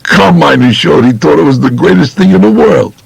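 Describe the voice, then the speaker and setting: A man's voice: a little high, expressive, fairly quick, creaky, husky, dry recording, voice pushed up, exaggerated voice. A man playing a tough, streetwise New York mobster recounting his crimes bluntly in colloquial first-person audiobook narration. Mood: blunt, matter-of-fact. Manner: colloquial, blunt, matter-of-fact.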